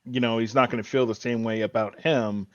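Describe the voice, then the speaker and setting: A man co-hosting a podcast, casually discussing a TV show and haltingly thinking aloud as he explains. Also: mid-range voice, steady tone, no echo, dull audio. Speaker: podcast co-host.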